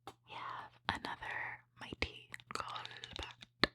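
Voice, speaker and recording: A woman whispers softly and without voicing, in a bright, breathy tone that sits close and dry on the microphone. Her delivery is gentle and appreciative, in the style of an ASMR host talking through trading cards. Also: slow pace, no echo. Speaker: host opening trading card packs. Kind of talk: whispered ASMR card commentary.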